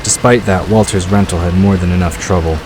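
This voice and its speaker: A man with a very low voice narrates a scripted story. Rain and vehicle sounds are clearly audible behind him as he reads. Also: fairly even intonation, a little fast, background noise. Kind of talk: scripted audiobook-style narration. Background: rain and vehicle sound effects.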